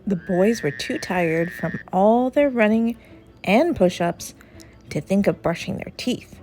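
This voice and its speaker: A woman reading a children's story aloud as an expressive storyteller. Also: medium pitch, takes it slowly. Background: soft music.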